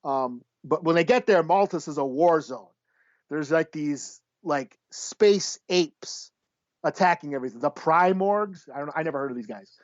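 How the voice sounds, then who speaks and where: A man reviews comics in a casual, rambling way. He swears freely and pauses to search for names. The recording is dry and a bit dull. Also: on the high side, animated, dry recording. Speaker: podcast host. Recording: slightly dull, dry lo-fi audio.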